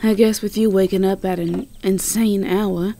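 A woman's voice: medium pitch, fairly even intonation, normal speed, creaky, warm tone, slight background noise, lightly acted. A woman playing a sweet, flustered Southern belle girlfriend in an intimate roleplay audio drama, with a Southern US accent and an affectionate, stammering delivery. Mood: shy, reflective.

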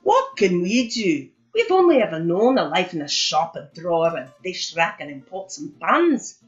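A woman's voice: on the low side, sing-song, moderate pace. Lady doing tired old grandpa spoon from a storybook, dubious grumbler, music under, bit muffled. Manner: questioning, dubious.

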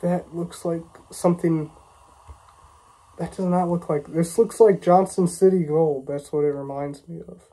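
A man's voice: fairly high pitch, fairly even intonation, a little slow, mellow, breathy edge, rough recording. A young American man with a stuffy, congested voice from a cold, chatting casually to camera as a reviewer in a small room. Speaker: vlogger reviewing drinks.

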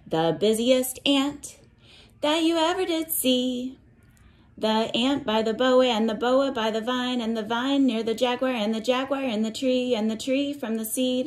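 A woman reading a picture book to young children and explaining it in simple words, with a sing-song, measured cadence. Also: fairly high pitch, darkish tone. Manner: simple, instructive phrasing aimed at young children. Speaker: read-aloud teacher for young children.

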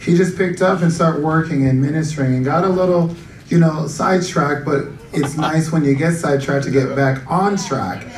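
A man praises a program graduate from a church stage. His voice is slightly high. It is heard from a distance in an echoey hall. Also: normal speed, darkish tone, a little hiss or hum.

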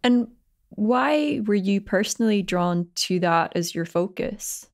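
A woman hosting a podcast, asking interview questions and narrating in a measured, clear way. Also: mid-range voice, expressive.

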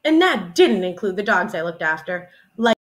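A young woman reading a children's picture book aloud in an expressive, deliberate teacherly storytelling manner. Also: fairly low pitch. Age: young adult. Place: small room at home, indoors.

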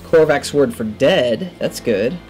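A man in his thirties plays a video game and talks through it casually. His pitch is average and his remarks are short and low-key, as if thinking out loud. Music plays behind his voice. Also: expressive, takes it slowly. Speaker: gamer, let's play host. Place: indoor room at a gaming setup. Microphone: headset.

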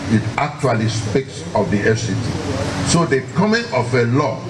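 A man's voice: mid-range voice, expressive, moderate pace, crowd noise. An older man with a Nigerian accent giving a formal public address as an official. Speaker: electoral commission official. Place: public hearing hall at lectern. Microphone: lectern microphone. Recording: echoey noisy hall, distant mic.

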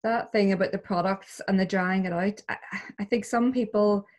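A middle-aged woman in an even, mid-pitched voice talks over a video call, co-hosting a skin clinic chat. She speaks conversationally and often chimes in to agree or add a point. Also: normal speed. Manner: warm, conversational, interjects with agreement. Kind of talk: casual chat.

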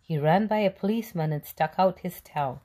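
A woman's voice: on the low side, fairly quick, warm tone. Woman reading a children's story expressively. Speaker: read-aloud storyteller. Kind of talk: children's picture book narration.

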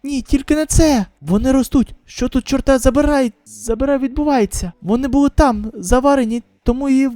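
A reader acting a high, stammering, anxious manga youngster. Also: moderate pace, few pauses, warm tone, no room sound, close to an everyday voice. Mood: alarmed.

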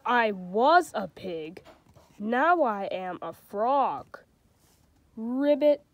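A woman voicing a patient, cheerful female pig from a picture book who plays at being a frog, explaining in a calm, matter-of-fact way. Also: on the high side, extremely slow, highs cut off, exaggerated voice.

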